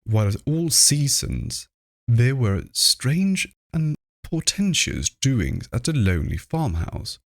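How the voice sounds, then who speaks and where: A man reading a horror story aloud as narrator, with measured, deliberate phrasing. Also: mid-range voice, very animated, vocal fry, no echo, studio quality. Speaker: narrator, livestream reader.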